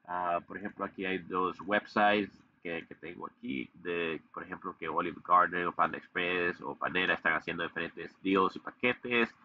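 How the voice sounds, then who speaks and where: A man's voice: low-pitched, moderate pace, few pauses, highs cut off. A young man who runs a digital marketing agency, giving a casual screen-share tutorial with lots of fillers and restarts, recorded on a webcam. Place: indoor room, webcam in corner of screen recording.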